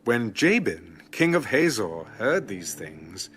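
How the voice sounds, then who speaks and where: Expressive male Bible narrator over music. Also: fairly low pitch, slow. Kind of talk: scripted dramatic Bible narration.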